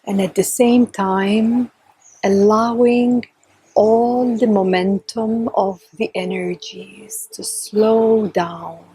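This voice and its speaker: Soft mellow lady doing guided meditation, super breathy, calm n gentle, long pauses. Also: medium pitch, no echo, poor audio. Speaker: meditation teacher. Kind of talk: guided meditation, slow and instructional.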